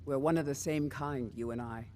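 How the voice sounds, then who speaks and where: A man's voice: medium pitch, moderate pace, close to an everyday voice. An actor voicing a solemn, lonely male adventurer offering companionship in a fantasy video game. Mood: wistful.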